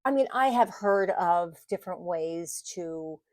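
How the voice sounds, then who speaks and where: A woman's voice: fairly low pitch, animated, a little slow, darkish tone, no room sound, studio quality. A middle-aged woman talking casually to camera in a vlog, rambling and correcting herself, with frequent small laughs. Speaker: vlogger. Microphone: mic on the desk, bumped at times.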